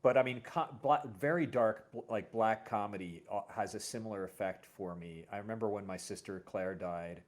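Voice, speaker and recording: Low-voiced, reflective man chatting. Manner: halting, reflective storytelling. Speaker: host. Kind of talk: casual, personal conversation.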